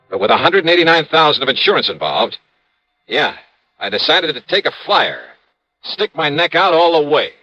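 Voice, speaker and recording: An actor playing a tough, wry American insurance investigator in a 1950s radio detective drama, with a clipped, needling delivery. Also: male voice, mid-range voice, bright, forceful tone. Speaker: lead actor and narrator. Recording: muffled vintage radio recording, faint music.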